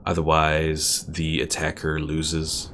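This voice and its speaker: A man's voice: extremely low pitch, slow pace, background noise. A man streaming a strategy game, chatting casually through a battle and answering a viewer's question. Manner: relaxed, playful sound effects. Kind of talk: casual gameplay commentary. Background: game music.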